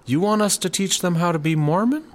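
A man's voice: high-pitched, animated, a little fast. A man playing a dutiful, hesitant new employee who worries about the rules, in a comedy audio drama. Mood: doubtful. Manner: hesitant, self-correcting. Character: anxious, dutiful male new employee, hesitant rule-follower. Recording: faint background ambience noise.

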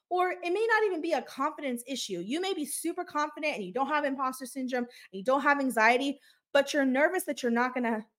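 A woman with a fairly high voice talks straight to her listeners in a solo podcast episode. She coaches with energy, firing off rhetorical questions and checking in with a quick "okay?". Now and then she stumbles on a word with a slight stutter. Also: a bit bright. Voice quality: high-pitched voice. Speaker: podcast host and business coach. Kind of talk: motivational solo podcast talk.

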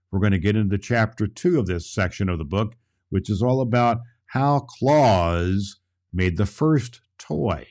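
A man's voice: lowish voice, a little mellow. A man playing Santa Claus as a jolly old storyteller, talking directly and emphatically to children. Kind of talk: children's storytelling and friendly show hosting.

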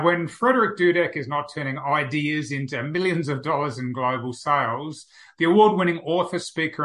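Bright-voiced upbeat podcast host. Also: male voice, on the high side. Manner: upbeat, brisk introductions. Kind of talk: interview hosting.

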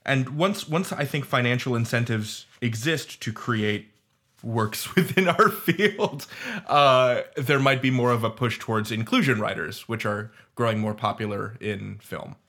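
A man on a roundtable panel, talking informally and thinking aloud in a halting way. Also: mid-range voice, a bit bright. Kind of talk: roundtable discussion.

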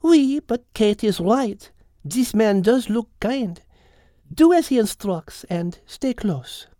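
A woman voicing a thoughtful, logical female cat with a French accent, speaking in a measured, precise way in an audiobook. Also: a little high, animated, warm tone, no echo, clean studio sound. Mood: approving. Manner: measured, precise, reasoning aloud. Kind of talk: audiobook character acting.

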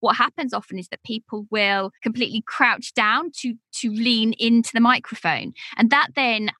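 A woman hosts a podcast in a relaxed, talkative way, drawing on her own experience. Her voice is bright and forward, recorded dry and close on a studio mic. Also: fairly high pitch, moderate pace, no room sound. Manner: chatty, explains from personal experience. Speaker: podcast host. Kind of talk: casual podcast conversation. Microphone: podcast microphone, seated.

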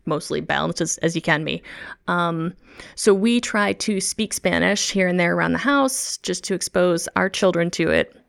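Gravelly low-voiced Midwestern woman. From Midwest United States. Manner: chatty, laughs often, frequent ums and restarts. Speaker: co-host, language researcher. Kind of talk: casual interview talk.

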